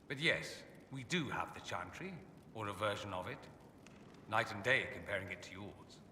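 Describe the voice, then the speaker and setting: Haughty witty fantasy mage for a game, arch and sardonic, really swoopy delivery, big echoey room w/ noise behind. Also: male voice, lowish voice, expressive, normal speed, sharp tone, recorded from a distance. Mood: wry disdain. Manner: suave, sardonic, theatrically arch. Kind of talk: video game character dialogue. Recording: echoey hall, clear background ambience noise.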